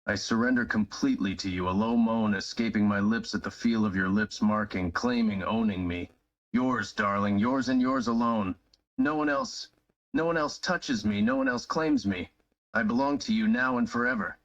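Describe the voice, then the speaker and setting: A man playing a devoted, yielding young male lover in a live-streamed romantic roleplay reading, with slow, breathy, dramatic declarations. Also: on the low side, lively intonation, lo-fi sound.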